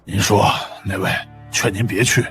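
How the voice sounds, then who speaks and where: A man playing a wary wasteland informant in an animated drama, speaking in a cautioning manner. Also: fairly low pitch, normal speed, music in the background, natural voice. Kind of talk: animated web-drama dialogue.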